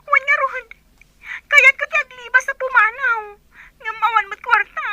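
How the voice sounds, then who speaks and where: A woman's voice: extremely high pitch, fairly even intonation, moderate pace, bright, forceful tone, exaggerated voice. A woman playing a naive, aggrieved young woman in an amateur audio drama, with an Ilocano accent. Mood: distressed.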